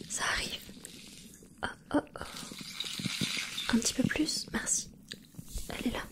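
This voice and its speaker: A woman voicing a gentle, caring mermaid in an ASMR roleplay, whispering softly and reassuringly with a curious, playful touch. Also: close to an everyday voice. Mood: soothing whisper. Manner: soft whispering, slow and soothing. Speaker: ASMR creator.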